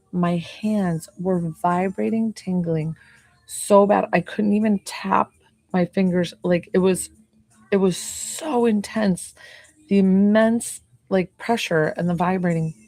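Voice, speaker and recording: A slightly hoarse woman in her forties talks softly and casually, peppering her speech with fillers. Her voice sits low with a gravelly fry. The sound is close and dry, with no room around it. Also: dry recording. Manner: talks softly, chatty with many "like"s. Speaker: intuitive healer. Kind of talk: casual live-stream chat.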